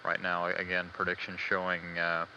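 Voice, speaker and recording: A man giving live spaceflight status commentary as a mission control announcer, measured, hesitant and matter-of-fact, on old band-limited broadcast audio. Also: deep voice, monotone, muffled recording, slight background noise.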